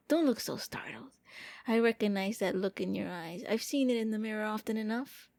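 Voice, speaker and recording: A woman voicing a gentle, lonely young vampire prince in an anime-style ASMR roleplay, speaking softly and coaxingly with a playful, hesitant manner. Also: mid-range voice, vocal fry, no room sound. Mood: gentle, wry.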